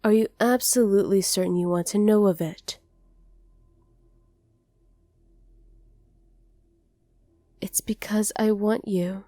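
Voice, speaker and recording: A woman voicing a haughty, possessive dragoness captor in an ASMR roleplay, speaking to the listener in a condescending, deliberate way. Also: mid-range voice, dark tone, no room sound, studio quality, lightly acted. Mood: intimate, proud.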